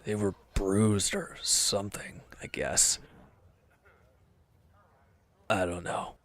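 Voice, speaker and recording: A man's voice: fairly low pitch, unhurried. A man acting in an audio roleplay as a sullen, defensive wounded villain, bitter and withdrawn, speaking in curt, grudging, evasive phrases.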